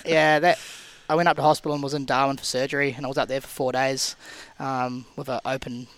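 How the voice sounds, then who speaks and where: A man in his thirties, a guest telling stories in a relaxed, rambling way with frequent restarts. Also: mid-range voice, fast, clean tone. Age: 30s. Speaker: guest, CrossFit athlete and coach. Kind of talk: casual podcast interview.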